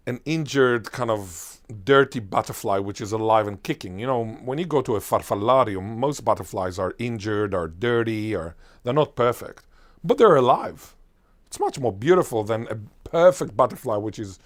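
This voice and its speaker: A man, a Hebrew speaker, answering as a linguist guest in an interview, emphatic and animated, full of lists and rhetorical questions. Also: mid-range voice. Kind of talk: enthusiastic explanatory interview answer.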